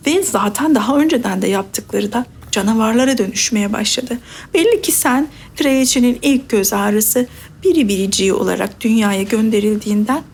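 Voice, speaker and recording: A woman's voice: fairly high pitch, creaky, a little hiss or hum. A woman voicing a fussy, devoted old vizier in a storybook, full of flowery praise. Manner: fawning and flowery.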